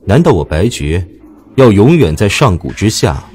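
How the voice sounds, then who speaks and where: A man acting a low-voiced, haughty god. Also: very animated, moderate pace, darkish tone, husky. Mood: cold, dismissive. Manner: stern, haughty delivery. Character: cold, aloof, commanding male god. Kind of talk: dramatic audio drama acting.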